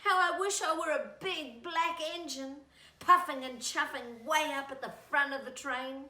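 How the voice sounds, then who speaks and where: A woman's voice: sits high, measured pace, sharp tone, roomy sound, voice pushed up, full character voice. A woman voicing a small, sad, self-pitying train caboose from a children's storybook in a plaintive, exaggerated way. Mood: wistful.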